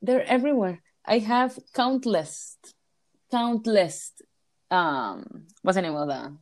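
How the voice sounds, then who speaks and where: A young woman from Venezuela speaks English with a Venezuelan accent, Spanish being her first language. She co-hosts a podcast in loose, rambling chat, often restarting and poking fun at herself. Her voice sits at an ordinary middle pitch for a woman. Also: expressive, slow. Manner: rambling, self-mocking, frequent restarts. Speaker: podcast co-host. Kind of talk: casual chat.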